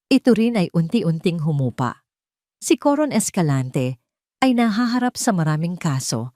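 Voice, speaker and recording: A woman with a Filipino accent narrating a dramatic scripted story in measured, emotive phrases with pauses. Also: fairly low pitch, dark tone, dry recording, studio quality.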